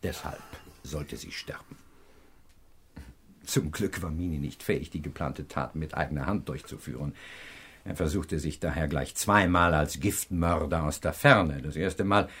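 An actor playing a self-assured professor detective in a radio crime drama, explaining precisely and with pedantic emphasis. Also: male voice, rumbling low, a little slow, fairly bright tone, husky, heavily acted. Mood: lecturing, triumphant.

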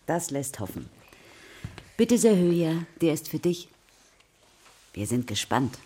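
A woman playing a patient, encouraging primary school teacher in a German children's radio play, with a husky voice and a questioning, instructive way of addressing her class. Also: deep voice, very animated, slow pace, dark tone, full character voice.

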